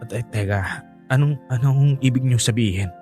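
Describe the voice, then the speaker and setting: A man's voice: medium pitch, moderate pace, mellow, soft background music, lightly acted. An actor playing a bitter, resentful young adult son, protective of his mother, speaking with heated emphasis in a radio drama. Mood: hurt, insistent.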